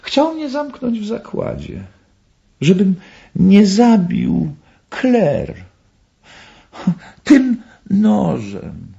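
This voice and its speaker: A deranged male killer for a radio play. His highish voice lurches all over its range as he gloats, theatrical and erratic. The sound is a little dull. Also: huge pitch swings, vocal fry, warm tone, full character voice. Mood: manic boasting. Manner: theatrical, drawn-out, erratic with giggly bursts. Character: deranged, gloating male murderer, obsessed with knives.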